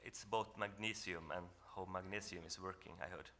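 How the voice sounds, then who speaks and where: A man from northern Norway with a Norwegian accent gives an earnest, reflective talk on a panel, often pausing and restarting as he searches for English words. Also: low voice, fairly even intonation, pushed and bright, slight background noise. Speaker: panel speaker. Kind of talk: reflective presentation at a symposium.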